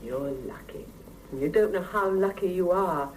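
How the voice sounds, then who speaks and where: A woman's voice: on the low side, unhurried, a little mellow, distant mic, a little hiss or hum, lightly acted, poor audio. An actress in a 1970s radio drama playing a blunt, world-weary Soviet single mother, on an old cassette recording. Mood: envious.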